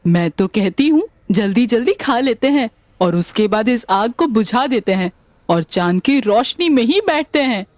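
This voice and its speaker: A woman voicing an eager young female friend in an animated story. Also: on the high side, moderate pace, dry recording, close to an everyday voice. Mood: eager, delighted. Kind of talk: animated story character dialogue.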